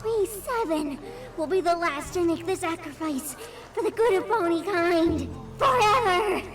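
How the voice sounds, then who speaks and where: A woman playing a mangled, rot-possessed pegasus mare in an audio drama, raspy and menacingly zealous. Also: extremely high pitch, lively intonation, measured pace, music in the background. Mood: fanatical menace. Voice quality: raspy, devastated throat.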